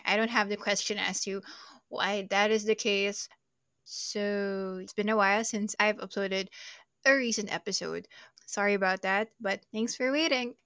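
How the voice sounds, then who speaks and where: A woman's voice: medium pitch, fairly bright tone, dry recording, clean studio sound. A young adult woman hosting a true crime podcast, narrating casually with jokey, self-deprecating asides. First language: not Portuguese. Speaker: podcast host.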